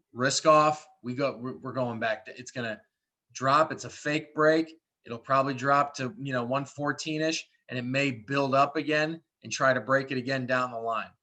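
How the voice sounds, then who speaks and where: A man's voice: medium pitch, pushed and bright. A man hosting a trading call, giving informal live commentary and explaining conversationally, often repeating and rephrasing his points. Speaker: trading call host, trader.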